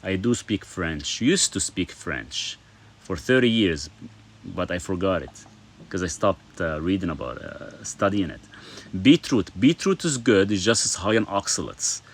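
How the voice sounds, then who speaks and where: A man's voice: sits low, big pitch swings, a little slow, breathy edge. A middle-aged man from Lebanon hosting a casual live stream, reading viewer comments aloud and answering them in a chatty way, full of fillers.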